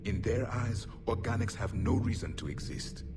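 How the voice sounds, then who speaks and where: A man voicing an ancient alien warrior in a video game, proud, bitter and gravely solemn as he speaks. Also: medium pitch, animated, moderate pace, loud music behind the voice, close to an everyday voice. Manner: grave, haughty, solemn pronouncements.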